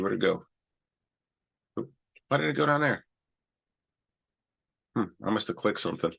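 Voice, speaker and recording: A man's voice: medium pitch, vocal fry, sharp tone. Chatty male trading host with a cold. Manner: loose and chatty, many restarts and "all right"s. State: has a cold. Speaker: stock trading show host. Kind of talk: casual live-stream chart talk.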